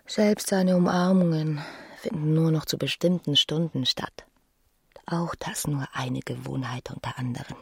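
An actress playing a young, restless and disdainful wife in a brooding radio play monologue. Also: female voice, sits low, a little slow, vocal fry, breathy edge, no room sound, studio quality, full character voice. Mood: bitter, brooding.

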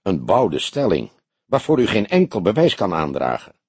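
A man's voice: low voice, huge pitch swings, moderate pace, a little mellow, husky, no echo, full character voice. A man voicing an arrogant, venomous businessman in his thirties in an audiobook, snappy, impatient and haughty. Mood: impatient, defensive. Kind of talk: Dutch audiobook character dialogue.

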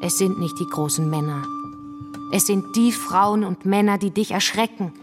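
A woman's voice: mid-range voice, moderate pace, natural voice. Actress as fired-up revolutionary woman in a radio play, lecturing and scornful, faint music under her. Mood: combative, lecturing. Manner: argumentative, didactic, scornful. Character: fervent, combative revolutionary woman defending the workers' councils. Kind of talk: German radio drama dialogue, polemical debate.

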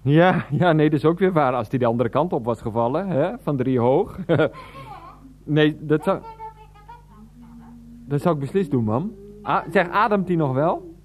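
Flustered son Freek. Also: male voice, on the high side, expressive, unhurried, pauses often, darkish tone. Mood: bewildered. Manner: stammering, exasperated, bursts into laughter. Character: flustered adult son Freek phoning his mother. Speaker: character in a radio drama. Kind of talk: 1990s radio play acting.

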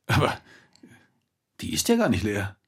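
An actor playing a courteous, businesslike police inspector with a husky voice in a German radio drama. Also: male voice, on the low side, huge pitch swings, measured pace, full character voice. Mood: probing. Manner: polite, questioning, businesslike.

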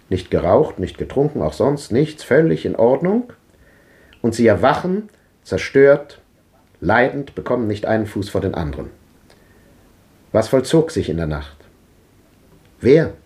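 An actor playing an old man who delivers a measured, lecturing philosophical monologue in a 1950s radio drama. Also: medium pitch, a little mellow.

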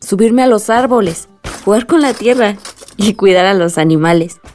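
An actress playing an eager, affectionate young village granddaughter in a radio drama, with a Mexican accent. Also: female voice, mid-range voice, moderate pace, a little mellow, faint music behind, natural voice. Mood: affectionate, wistful. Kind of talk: Spanish-language radio drama acting.

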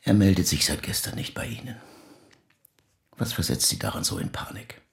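A very deep-voiced male psychoanalyst in a radio drama. He is calm, patient and probing, with a creaky low register and a lively melody to his measured questions. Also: heavily acted. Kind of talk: naturalistic radio drama acting.

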